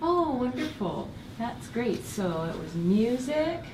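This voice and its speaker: A woman teacher briskly explaining a group activity and asking questions. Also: a little high, big pitch swings. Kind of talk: classroom instructions. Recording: echoey hallway, distant mic, noise.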